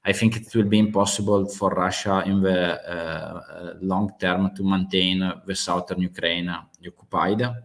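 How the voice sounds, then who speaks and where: A man's voice: low voice, fairly even intonation, normal speed, few pauses. A man from Italy with a strong Italian accent, hosting a casual live chat about world affairs. He speaks in English with many fillers and restarts. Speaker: host of live geopolitics chat. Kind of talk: casual live-stream talk.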